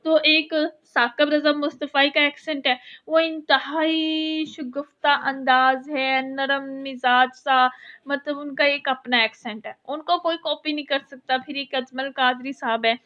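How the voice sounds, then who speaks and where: Woman teacher giving a recorded lecture, high bright voice, kinda dull lo-fi sound. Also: little pitch movement, measured pace. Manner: explanatory, repeats points, mixes English terms.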